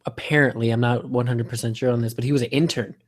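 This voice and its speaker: A man's voice: mid-range voice, steady tone, quick pace. A man co-hosting a podcast in casual chat, conversational and often repeating himself as he makes a point. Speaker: podcast co-host.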